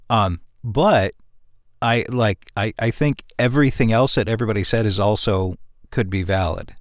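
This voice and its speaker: A man speaking as the writer in a casual, reflective cast discussion, halting and thoughtful as he picks his words. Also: on the low side, no echo, studio quality. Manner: halting, thoughtful, mulls over wording.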